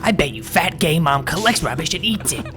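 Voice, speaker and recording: A man voicing a furious twelve-year-old gamer boy hurling childish insults in a shrill rant. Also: lively intonation, fairly quick, over music, lightly acted.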